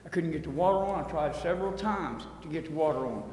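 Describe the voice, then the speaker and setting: A man's voice: on the high side, lively intonation, quick pace, a little mellow. A plain-spoken man making an unscripted, pleading appeal as a resident at a public hearing, recorded in a council chamber. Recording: echoey hall, long reverb, faint noise.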